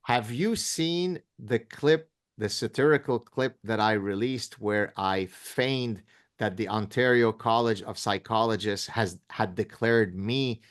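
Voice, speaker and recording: A man's voice: on the low side, takes it slowly. Emphatic, sarcastic professor man. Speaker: professor guest. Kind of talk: interview conversation.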